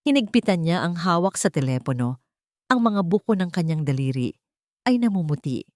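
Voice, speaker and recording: A woman's voice: low-pitched, darkish tone, dry recording, clean studio sound. A woman narrator reading a scripted story in a dramatic storytelling style.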